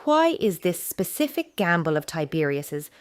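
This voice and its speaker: A woman's voice: lowish voice, big pitch swings, normal speed, clear, resonant tone, dry recording, studio quality. An actress playing a firm, practical Roman mother in an audio drama, with a commanding, pointed way of talking. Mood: impatient, commanding. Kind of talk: historical audio drama acting.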